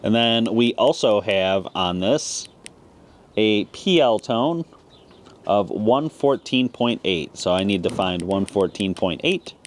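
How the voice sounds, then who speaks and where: Man, clear background noise. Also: fairly low pitch, animated, slow. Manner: plain-spoken, explanatory. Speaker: ham radio video host. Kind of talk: casual step-by-step product tutorial.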